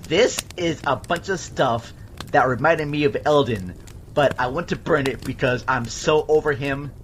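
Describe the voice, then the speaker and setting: A man playing an excited, lovestruck teenage girl who gushes in halting slang, in an amateur re-enactment of a film scene. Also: medium pitch, slight background noise, lightly acted. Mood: confiding. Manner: gushing, halting teen slang.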